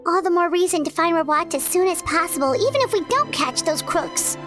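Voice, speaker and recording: Squeaky lil fairy sidekick from a game, really high kiddy voice, perky n blunt, faint music. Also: female voice, expressive, a little fast. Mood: sympathetic. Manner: speaks of self in third person. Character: small floating fairy companion, female, childlike, perky, blunt. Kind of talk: video game voice acting.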